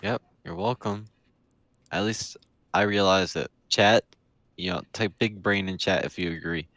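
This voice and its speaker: A man's voice: low-pitched, measured pace, a bit bright, dry recording. A man co-hosting a live stream, teasing and hyping up the host to chat.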